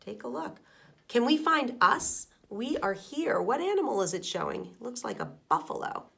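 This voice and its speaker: A middle-aged woman, a schoolteacher, reading a picture book aloud to young children and explaining it in simple, deliberate phrases with questions to the listeners. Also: a little high, sing-song, vocal fry, fairly bright tone. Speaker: elementary school teacher.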